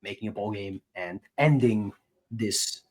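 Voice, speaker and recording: A man's voice: lowish voice, unhurried, darkish tone, low-quality recording. A man co-hosting a sports podcast, discussing games in a casual, conversational way like a reporter.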